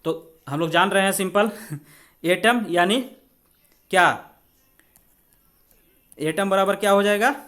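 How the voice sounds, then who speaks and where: A man with an Indian accent and a notably high voice teaches like an exam coach. He talks through each step conversationally. He keeps checking in with short rhetorical questions. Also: takes it slowly, long pauses, pushed and bright. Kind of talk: spoken maths and chemistry tutorial.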